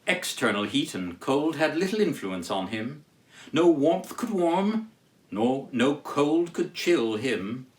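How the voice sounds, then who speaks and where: A man giving a formal, declamatory literary reading as a storyteller, with deliberate phrasing and dramatic pauses. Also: medium pitch. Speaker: storyteller reading as Charles Dickens. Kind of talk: theatrical literary reading with introduction.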